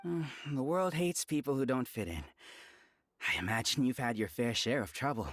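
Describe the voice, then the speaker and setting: A man playing a courteous, quietly sinister schemer in a video game, genteel and persuasive in his delivery. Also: mid-range voice, expressive, moderate pace, no room sound, lightly acted. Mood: coaxing. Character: smooth, courteous male schemer luring recruits, quietly sinister. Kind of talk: dramatic game voice acting.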